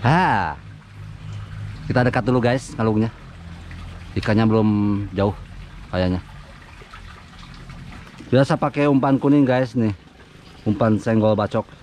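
A man in his thirties with a Sundanese accent, giving excited live commentary while fishing outdoors at a pond, often laughing and yelping. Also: mid-range voice, measured pace, pauses often, slight background noise. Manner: excitable, laughing, yelps when fish bite. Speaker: fishing vlogger.

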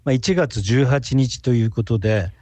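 A man's voice: mid-range voice, normal speed, darkish tone, no room sound. A man in his sixties chatting casually as a radio guest over an online call. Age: 60s. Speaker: guest archaeologist. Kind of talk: casual interview chat.

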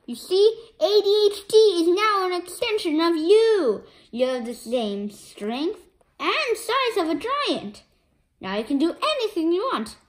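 A woman's voice: very high voice, sing-song, unhurried, exaggerated voice. A woman voicing a helpful cartoon cat who explains things eagerly in a children's comic. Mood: encouraging.

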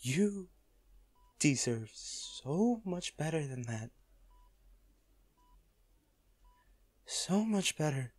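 A man's voice: medium pitch, a little mellow, no room sound. Audio roleplay lead: male actor as wealthy hard-hearted man grieving his love, emotional, faltering, intimate, very dry sound. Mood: broken, grieving. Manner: halting, pleading, emotional delivery. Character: rich, ruthless man softened by love, grieving at bedside.

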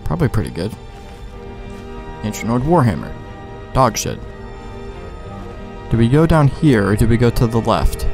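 A man plays a video game and talks his way through it in a rambling, casual style. His mid-pitched voice is full of slang and swearing, with some game music behind him. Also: very animated, moderate pace, gravelly, pauses often, darkish tone. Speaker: let's play gamer. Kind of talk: casual gameplay commentary.

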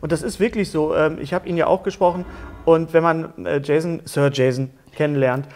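Higher-voiced middle-aged man hosting casually. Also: steady tone, a little fast, darkish tone. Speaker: talk show host, interviewer. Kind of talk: casual chat interview.

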